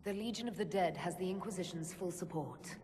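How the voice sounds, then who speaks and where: A woman's voice: fairly low pitch, a little fast, a little mellow, a little hiss or hum, natural voice. A woman playing a composed, inquisitive leader in a fantasy game, with a direct, questioning manner. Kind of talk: dramatic fantasy game acting.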